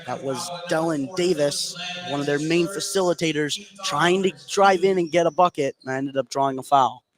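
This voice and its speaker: A man giving live play-by-play radio commentary of a high school basketball game, energetic and lifting with the action. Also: moderate pace, crowd noise.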